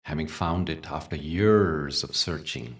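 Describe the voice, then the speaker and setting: A man leading a guided meditation as a teacher, speaking gently in short phrases with long pauses. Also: very deep voice, far from the mic. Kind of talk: guided meditation with spiritual talk.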